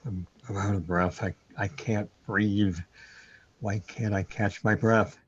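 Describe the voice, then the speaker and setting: Troubled, self-doubting man played by a male actor, brooding and hesitant, husky delivery, muffled recording, surreal radio drama. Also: lowish voice, steady tone, takes it slowly. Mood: panicked. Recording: dull, lo-fi audio.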